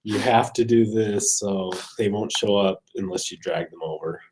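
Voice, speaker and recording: A man's voice: on the low side, normal speed, a loud crowd, rough recording. A man casually walking through code on screen like a programming student, thinking aloud in a hesitant, unsure way.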